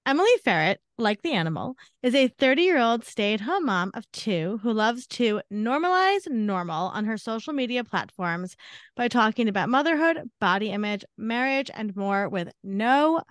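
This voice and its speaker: Smooth-voiced chatty woman podcast host. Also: a little high, very animated, normal speed, dry recording, studio quality. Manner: chatty, upbeat, storytelling. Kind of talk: casual podcast chat and guest intro.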